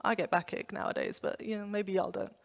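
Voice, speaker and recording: A woman's voice: mid-range voice, brisk, creaky. Woman preaching in a reverberant hall. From London. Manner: animated storytelling with acted-out inner voices. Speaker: pastor. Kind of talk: youth sermon. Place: church.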